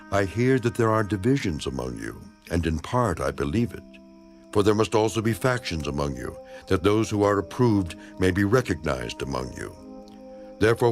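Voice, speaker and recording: A man giving a dramatized scripture reading in a solemn, declamatory, measured manner. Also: lowish voice. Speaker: narrator of a dramatized Bible reading. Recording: voice over background music. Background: music.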